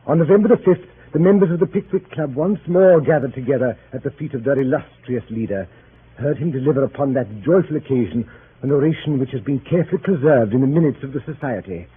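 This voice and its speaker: A formal club-member narrator, played by a man, tells a radio tale with wry, theatrical phrasing. The voice is mid-pitched, on a thin vintage broadcast with light noise. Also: animated, fast, narrow, tinny audio, close to an everyday voice. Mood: solemn storytelling. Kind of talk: dramatic 1930s radio narration.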